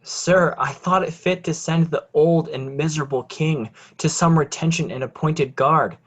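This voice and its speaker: A man's voice: a little high, normal speed, fairly bright tone, husky. A man playing a proud, treacherous young nobleman in Shakespearean reader's theatre, with formal, declamatory delivery. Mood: smooth, formal.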